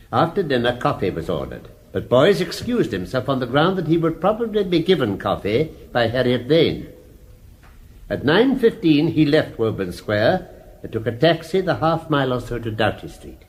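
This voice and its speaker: An actor playing a formal, deliberate British judge addressing a jury in a radio drama. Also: male voice, fairly high pitch, very animated. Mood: measured, solemn. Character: formal, measured male judge summing up a murder case.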